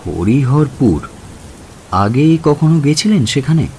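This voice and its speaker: An actor playing a sceptical, educated villager who narrates a Bengali audio story in an expressive, wry way, with a Bengali accent. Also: male voice, medium pitch, slow, a little hiss or hum. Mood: curious.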